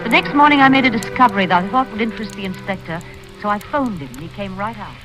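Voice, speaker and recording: An actress in a vintage radio drama playing a prim, unflappable, fussy spinster with a genteel, matter-of-fact way of speaking. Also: female voice, mid-range voice, expressive, quick pace, close to an everyday voice. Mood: confiding. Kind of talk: 1940s-50s radio drama acting, first-person narration. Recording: vintage radio recording with music.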